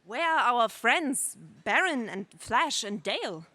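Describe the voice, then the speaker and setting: A woman's voice: on the high side, animated, takes it slowly, pushed and bright, no echo, heavily acted. A woman playing a loyal alien warrior with archaic, declamatory speech in a 1930s-style space radio serial. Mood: stately.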